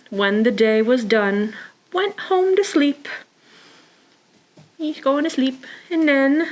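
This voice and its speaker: A woman reading picture books aloud for a preschool storytime, speaking expressively and simply, as if to young children. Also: a little high. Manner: expressive, with sighs, gasps and exclamations; simple child-directed phrasing. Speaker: children's librarian storytime presenter.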